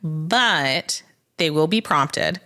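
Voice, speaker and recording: A woman's voice: sits low, expressive, extremely slow, bright, forceful tone. A woman co-hosting a podcast as the legal expert, explaining things in casual chat and acting out courtroom dialogue as she goes.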